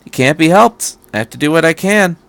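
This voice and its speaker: A man voicing a brisk, resolute female military officer in a story, commanding and matter-of-fact. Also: a little high, lively intonation, gravelly, fairly bright tone, no room sound. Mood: determined.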